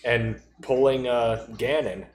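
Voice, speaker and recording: A man in casual podcast conversation, speaking in a halting way as he thinks aloud. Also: medium pitch. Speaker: podcast co-host. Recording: roomy echo, faint background noise.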